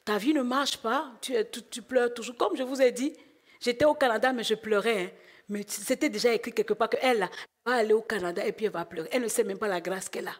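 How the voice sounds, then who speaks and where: A middle-aged woman from Benin preaching a sermon and telling her own story, moving from hushed asides to loud exclamations. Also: on the high side, fast talker. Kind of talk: sermon with personal testimony.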